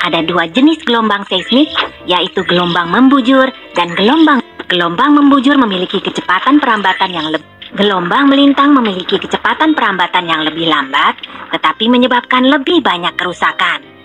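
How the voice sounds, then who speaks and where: A woman voicing a cheerful young panda tour guide in a children's educational game, speaking clearly and instructively. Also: medium pitch, lively intonation, fairly quick, fairly bright tone, close to an everyday voice. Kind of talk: scripted educational narration for children. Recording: music behind the voice.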